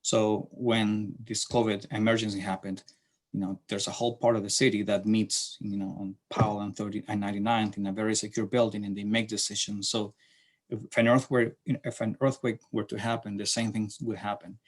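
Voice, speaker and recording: A middle-aged man from Chile with a Chilean Spanish accent, giving a slide presentation to a class in a halting way with many fillers and restarts. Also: fairly low pitch, little pitch movement. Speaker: city transportation planner presenting to a class.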